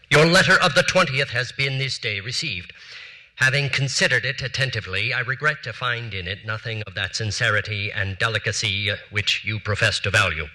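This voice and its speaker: An actor in his forties reading letters as a proud, aggrieved statesman, in formal, measured, stiffly offended speech on a theater stage. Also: medium pitch, bright, forceful tone, low-quality recording. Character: proud aggrieved male statesman demanding satisfaction.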